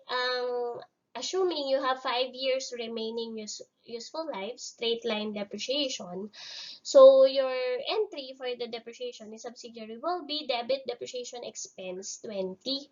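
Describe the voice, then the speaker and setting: A woman with a Filipino accent giving a recorded lecture, explaining worked problems step by step in an informal, instructional way. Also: on the high side. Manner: informal, instructional, mixing technical terms into conversational explanation. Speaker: accounting lecturer. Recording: dull, lo-fi voice recording.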